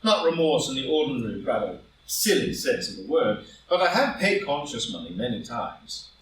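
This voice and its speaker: A man playing a proud, ambitious wealthy gentleman in a stage drama reading, speaking in an impassioned, grandiloquent and emphatic way. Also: medium pitch, very animated, moderate pace, a little hiss or hum, lightly acted. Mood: pleading, uneasy. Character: proud, ambitious wealthy gentleman defending his guilty secret. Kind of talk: live group play reading, stage drama. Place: indoor room, live in-person group reading. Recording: roomy live recording, distant from mic.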